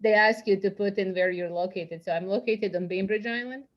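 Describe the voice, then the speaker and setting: A woman's voice: mid-range voice, a little fast, dull audio. Croatian-born woman on zoom, lots of ums, a bit unsure. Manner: hesitant, with frequent fillers. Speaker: workshop participant. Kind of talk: casual self-introduction. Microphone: video call.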